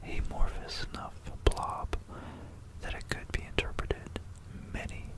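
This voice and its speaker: Asmr history narrator whispering real slow, kinda thinking out loud, right up on the mic. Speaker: ASMR presenter. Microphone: close stereo binaural microphone.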